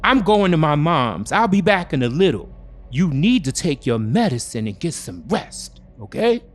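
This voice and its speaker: Man plays gaslighting boyfriend in thriller audio story: raised, loud delivery, smug, impatient, slangy. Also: on the high side, sing-song, normal speed, faint music behind. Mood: dismissive, agitated. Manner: sharp, dismissive, slangy.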